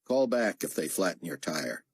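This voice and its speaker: A man narrating an audiobook voices a calm male commander who speaks in short, terse phrases. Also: deep voice, moderate pace, slightly rough, no echo, clean studio sound, heavily acted. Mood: terse, steady.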